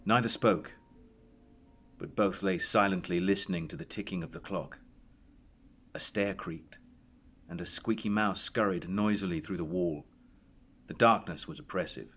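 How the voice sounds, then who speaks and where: A man narrating a horror story in a dramatic, suspenseful and deliberate way. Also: low-pitched, fairly bright tone. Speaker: story reader.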